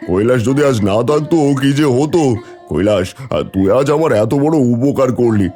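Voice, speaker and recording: A man's voice: medium pitch, animated, normal speed, warm tone, faint music behind, lightly acted. A man playing a commanding village landowner and emotional father in a cartoon folk tale, speaking dramatically. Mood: tearful relief. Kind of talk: Bengali cartoon fairy tale dubbing.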